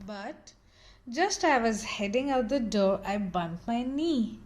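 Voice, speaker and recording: A woman reading a children's picture book aloud in an expressive, dramatic storytelling style with theatrical emphasis. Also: fairly high pitch, slow pace.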